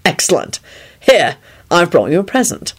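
A woman's voice: fairly low pitch, sing-song, normal speed, slightly rough. A woman voicing a huge, sonorous government minister in a storybook, stern and solemn with a wry streak. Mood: amused, affectionate. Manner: solemn, wry, bellowing when cross.

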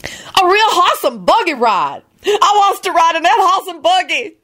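A woman's voice: fairly high pitch, big pitch swings, moderate pace, voice pushed up. A woman voicing a bossy, outspoken older cook who grumbles and lectures in folksy, ungrammatical speech. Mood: excited. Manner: loud, folksy, ungrammatical talk with shrieks.

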